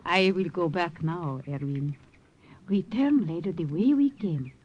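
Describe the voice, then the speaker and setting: A woman's voice: fairly low pitch, animated, measured pace, breathy edge, narrow, tinny audio, soft background music. An actress playing a protective, cautious older village woman in an old-time radio drama. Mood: hushed, cautioning. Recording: old radio broadcast, faint noise and ambience.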